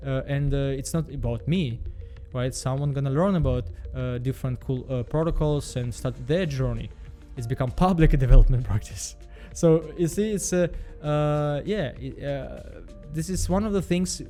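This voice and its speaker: Man from Belarus, self-help vlogger, higher voice, soft tone, faint music bed. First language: Russian. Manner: halting, repeats words, frequent fillers. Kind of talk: casual self-help vlog monologue.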